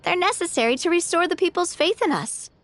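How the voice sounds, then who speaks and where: A woman's voice: extremely high pitch, lively intonation, brisk, fairly bright tone, dry recording. A woman playing a cheerful young female leader in a video game, bubbly yet earnest and polished. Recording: music behind the voice.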